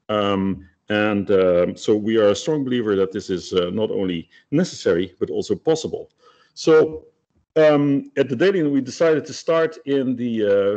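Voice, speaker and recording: A middle-aged man from the Netherlands, giving a technical webinar talk with many hesitations and fillers. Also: lowish voice. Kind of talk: technical presentation. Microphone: video call. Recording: muffled lo-fi video-call audio.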